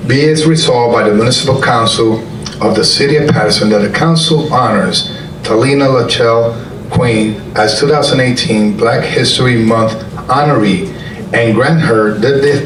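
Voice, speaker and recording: Man reading a resolution in a hall. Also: medium pitch. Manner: halting, with jokes and self-corrections. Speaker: city council member. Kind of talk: reading a council resolution at a ceremony. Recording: echoey hall, far mic, loud room noise.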